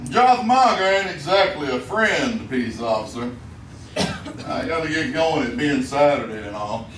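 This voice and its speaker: A man's voice: a little high, lively intonation, a little slow, fairly bright tone. A man acting a stubborn, grim backwoods mountain man with a rural Southern drawl in a live old-time radio western. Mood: grim, determined. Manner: folksy, blunt backwoods talk. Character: tall grim Ozark mountain man, plain-spoken and stubborn. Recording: distant, echoey theater with noise.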